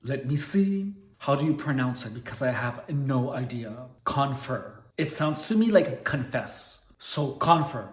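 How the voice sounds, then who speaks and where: A man with a heavy Mexican accent reading a book aloud slowly and stopping to puzzle over words, with casual swearing. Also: medium pitch, lively intonation. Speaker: book reader and explainer. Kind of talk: casual read-along of a book. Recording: echoey room.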